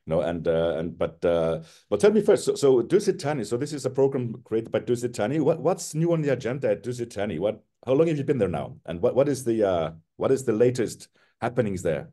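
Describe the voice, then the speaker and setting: A man from Iceland, hosting a casual interview and talking in halting bursts full of restarts and fillers. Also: lowish voice, warm tone. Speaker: podcast host.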